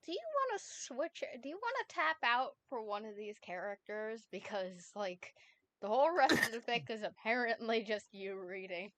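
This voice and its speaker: A woman's voice: a little high, lively intonation, moderate pace, a bit bright. A woman reading a fanfic aloud on a stream and chatting easily with her co-reader.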